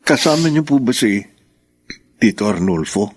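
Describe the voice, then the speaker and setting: An actor playing a gentle, forgiving husband in a radio drama, speaking earnestly and respectfully. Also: male voice, medium pitch, lively intonation, moderate pace, slight background noise, natural voice. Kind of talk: Tagalog radio drama acting.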